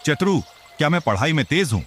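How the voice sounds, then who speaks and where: Man playing a chatty, raised-pitch village boy. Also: a little fast, slight background noise. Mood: eager, curious. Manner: talkative, excitable. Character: chatty, mischievous, eager young village boy. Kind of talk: cartoon story character voice.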